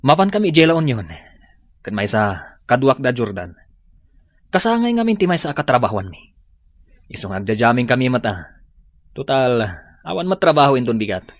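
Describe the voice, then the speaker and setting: A man's voice: medium pitch, sing-song, moderate pace, long pauses, no room sound, natural voice. A man with a Filipino accent playing a selfish, irritable young boyfriend, complaining and dismissive, in a radio drama. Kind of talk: Ilocano radio drama acting.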